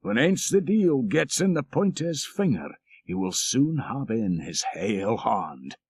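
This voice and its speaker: Man acting a dour, animated old Scottish shepherd. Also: mid-range voice, measured pace, husky, no room sound, clean studio sound, exaggerated voice. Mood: sanctimonious. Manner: preachy, emphatic, sententious.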